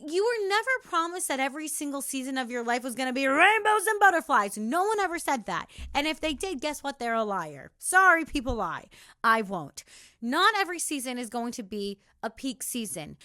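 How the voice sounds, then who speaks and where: A young woman with a raspy, high and bright voice delivers an emphatic motivational monologue straight to the listener. She hosts her own podcast and sounds preachy and intense. The recording is dry and close. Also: very animated, moderate pace, no room sound. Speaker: podcast host.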